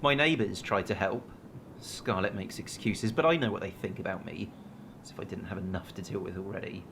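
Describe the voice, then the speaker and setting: A man playing a defensive, evasive partner in denial in a radio drama, with a British accent and halting, well-spoken, sarcastic delivery. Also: sits low, gravelly, a bit bright, a little hiss or hum. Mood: bitter, sarcastic. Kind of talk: naturalistic radio drama acting.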